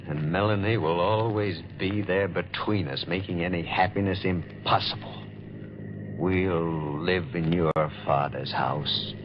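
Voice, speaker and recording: An actor playing a sinister, bitter man who torments a woman with theatrical, deliberate taunts in an old-time radio melodrama. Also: low voice, lo-fi sound, noisy background. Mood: bitter, grim.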